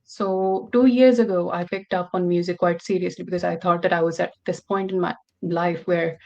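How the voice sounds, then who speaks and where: A woman's voice: lowish voice, a little mellow, lo-fi sound. A young woman from Pakistan, a podcast guest telling her story in an earnest, reflective way. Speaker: guest musician. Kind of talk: podcast interview.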